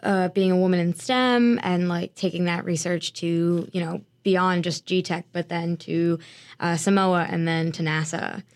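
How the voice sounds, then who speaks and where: A woman's voice: fairly low pitch, steady tone, measured pace. A young woman interviewing a guest, asking rambling questions with fillers.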